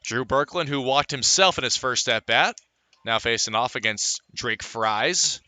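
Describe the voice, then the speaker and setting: A man calling live baseball play-by-play on radio, filling in player stats between pitches. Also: measured pace, pushed and bright, no echo. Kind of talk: live sports commentary.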